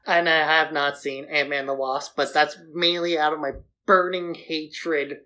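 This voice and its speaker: Female podcast co-host, low-pitched, informal and opinionated film talk. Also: steady tone, moderate pace, bright, forceful tone. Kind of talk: casual opinionated film discussion.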